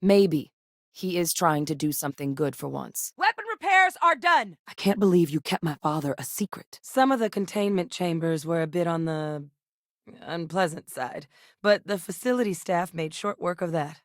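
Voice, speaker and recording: A composed middle-aged woman with a low-pitched voice, recorded very dry. She is an earnest scientist and war veteran in a space video game, articulate and measured in her delivery. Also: darkish tone, no room sound. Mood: troubled, reflective. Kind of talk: scripted video game dialogue.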